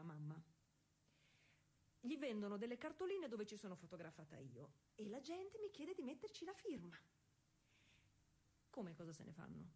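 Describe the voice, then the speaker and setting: An actress playing a proud, eager young woman racing cyclist of the early 1900s in a conversational, insistent stage monologue, with a husky voice. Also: on the high side. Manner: explanatory, conversational, insistent with repeated checks. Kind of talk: theatrical monologue addressed to an unseen listener.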